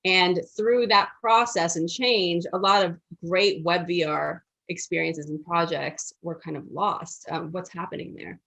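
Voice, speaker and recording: Polite woman interviewer, lower voice. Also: fairly even intonation, measured pace, gravelly, hardly any pauses, a bit bright, rough recording. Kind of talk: red carpet interview.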